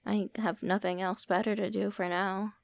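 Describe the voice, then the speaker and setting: A woman's voice: mid-range voice, level tone, moderate pace, vocal fry, no echo, close to an everyday voice. A woman voicing a young-looking female vampire lord who is secretly shy and calls the listener names. She whispers and stammers in an ASMR roleplay. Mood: flustered teasing. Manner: whispery, stammering, brusque teasing. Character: tsundere female vampire lord, centuries-old, looks early twenties, flustered, insulting.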